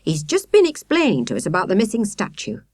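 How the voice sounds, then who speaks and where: A woman's voice: medium pitch, huge pitch swings, darkish tone, slightly rough, no room sound, studio quality, voice pushed up. Blustering, stern British police sergeant, voiced by a woman. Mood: proud, official. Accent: British English. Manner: brisk, authoritative, emphatic.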